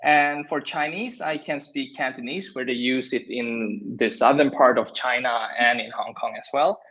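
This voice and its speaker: A young man from Sweden, native Swedish speaker, chatting as an interview guest over a video call, friendly and quick to laugh. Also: mid-range voice, a bit bright. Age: young adult. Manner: friendly, laughs easily, careful phrasing. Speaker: guest, company founder. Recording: dull lo-fi call audio.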